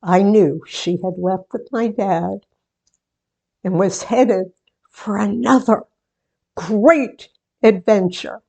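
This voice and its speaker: Female, unhurried and theatrical, medium pitch, for a sentimental, playful daughter in old-time radio drama acted out by a woman. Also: vocal fry, a little mellow. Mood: wistful, tender. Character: sentimental daughter, book-show hostess, playfully resisting marriage. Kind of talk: 1940s radio theatre monologue acting.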